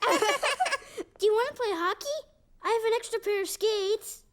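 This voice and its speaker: Eager little boy pal in a kids cartoon, really high voice. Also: unhurried, fairly bright tone. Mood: eager, friendly. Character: friendly, busy little boy, Caillou's friend. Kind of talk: children's cartoon voice acting.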